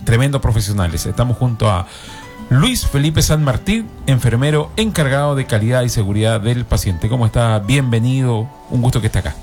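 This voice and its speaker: A man's voice: medium pitch, expressive, fairly quick, a little mellow, soft background music. A man with a Chilean accent hosting a community health radio panel, speaking formally with frequent fillers. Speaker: radio host.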